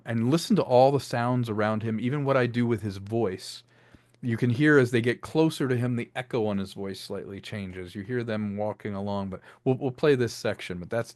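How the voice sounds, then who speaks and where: Eager male director explaining his craft, mid pitch, dry close mic, video call. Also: no echo. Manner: enthusiastic, explains with many restarts and voiced asides. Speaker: director and sound designer. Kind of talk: casual interview chat.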